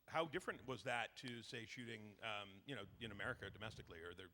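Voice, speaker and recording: A man's voice: fairly low pitch, animated, fast, pushed and bright. A man moderating a convention panel, chatty and joking with many fillers. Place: large convention hall. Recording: reverberant hall with faint noise.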